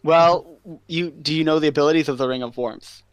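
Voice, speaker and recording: A man running a tabletop game as game master, narrating scenes in a drawn-out way and laughing often with his players. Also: fairly high pitch, clear, resonant tone, dry recording, highs cut off.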